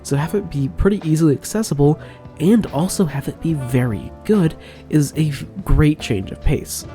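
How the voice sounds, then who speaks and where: A man's voice: a little high, expressive, normal speed, dark tone, faint music behind. A man with a head cold and a nasal, congested voice, explaining tabletop game rules in an enthusiastic voice-over. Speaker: game guide creator. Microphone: new microphone.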